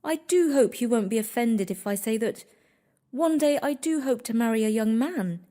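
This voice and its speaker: A woman playing a kind-hearted, humble young woman in a storybook, with a polite, gentle, earnest manner. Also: fairly high pitch, animated, normal speed, close to an everyday voice. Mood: humble, touched.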